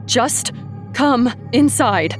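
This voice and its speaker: Woman playing higher-voiced anxious young-family wife. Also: expressive, drawn-out words, over music. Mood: nervous, pleading. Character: anxious wife and mother in haunted new house. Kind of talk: audio drama acting.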